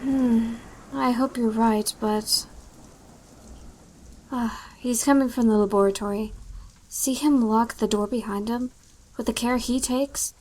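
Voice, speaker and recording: A woman's voice: a little high, steady tone, takes it slowly, pauses often, darkish tone. A woman playing a scolding, worried, superstitious housekeeper in an old-time radio drama style, with a fussy, dramatic delivery. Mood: uneasy.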